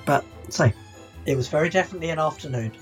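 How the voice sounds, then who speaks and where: A man's voice: on the high side, fast talker, faint music behind. A man co-hosting a podcast, chatting casually and thinking aloud as he goes. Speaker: podcast co-host. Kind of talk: casual chat.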